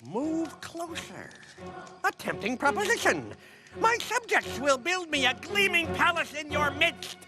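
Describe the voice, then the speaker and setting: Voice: sits high, sing-song, unhurried. An actor playing a pompous, theatrical, self-crowned male vegetable king in a cartoon, with grandiose delivery. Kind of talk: exaggerated cartoon villain voice acting. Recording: music behind the voice.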